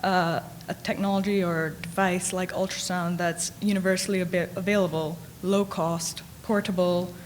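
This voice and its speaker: A woman's voice: on the low side, little pitch movement, normal speed, hardly any pauses, a little hiss or hum. A young woman from the Caribbean, a radiology resident, giving a friendly conference talk with light humor. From Caribbean, grew up in Grenada. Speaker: radiology resident, panel speaker. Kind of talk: conference presentation. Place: conference hall.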